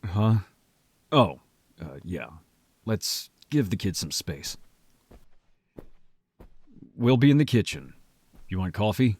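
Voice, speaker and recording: A man playing a protective werewolf brother in an audio roleplay, gruff, blunt and exasperated but caring. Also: fairly low pitch, very animated, slow pace, pauses often, darkish tone, dry recording, heavily acted. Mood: relenting, casual.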